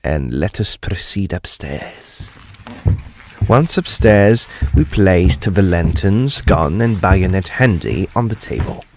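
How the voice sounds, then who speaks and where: A man's voice: low voice, animated, takes it slowly, background noise, heavily acted. An actor playing a grave, intrepid ghost hunter, narrating his own ghost story in a theatrical, suspenseful way. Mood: grave, purposeful. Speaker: actor narrating an audio drama. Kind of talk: dramatic first-person ghost story narration.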